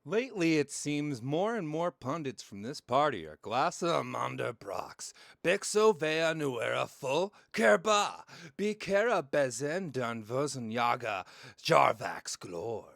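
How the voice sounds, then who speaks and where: Slick male radio huckster, acted by a man, heavily gravelled and bright, punchy theatrical sell. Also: medium pitch, big pitch swings, takes it slowly, no room sound, clean studio sound, exaggerated voice. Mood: ranting. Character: slick, hard-selling male radio pitchman and talk host. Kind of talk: radio ad and pundit read.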